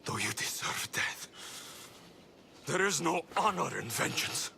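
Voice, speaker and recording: An actor playing a bitter, passionate young mercenary son in a dramatic video game, with a gravelly, intense delivery. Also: male voice, fairly high pitch, sing-song, measured pace, slight background noise, full character voice. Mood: hushed, bitter. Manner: intense, swinging from hushed to shouting.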